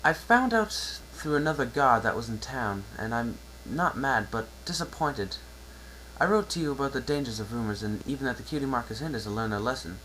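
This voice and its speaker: A man's voice: medium pitch, expressive, brisk, pushed and bright, a little hiss or hum, rough recording. A man voicing a polite young female pony student reading out a letter, earnest and disappointed.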